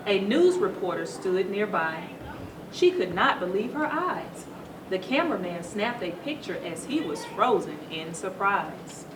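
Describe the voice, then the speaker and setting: A woman reading a children's story aloud to schoolchildren in a teacherly, animated way, stopping to put questions to them. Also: mid-range voice. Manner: teacherly, asks the listeners questions. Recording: roomy echo, distant mic, noisy background.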